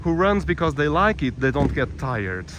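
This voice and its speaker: A man from Portugal with a Portuguese accent, chatting casually and a little haltingly as he hosts a live walking tour. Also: a little high, big pitch swings. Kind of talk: casual live vlog chat. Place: outdoors, walking through a village. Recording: clear outdoor ambience and traffic noise.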